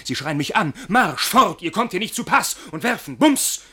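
A young, naive, boastful lover in a classic radio play. The voice is high for a man, slightly rough and breathy, with very lively swings of melody. He tells his tale in effusive, declamatory rhymed verse. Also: moderate pace, exaggerated voice. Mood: indignant, animated.

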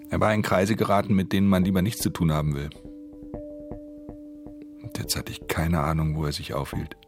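An actor playing a brooding male pickpocket who narrates a radio drama in a measured, introspective, gravelly voice. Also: rumbling low. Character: brooding male pickpocket narrating his criminal life in Tokyo.

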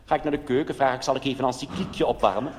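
A man's voice: medium pitch, steady tone, racing, big-room sound, pitched below the performer's own voice, close to an everyday voice. A man playing a chatty, naive, gushing modern nun in a cabaret monologue, confiding earnestly with frequent exclamations.

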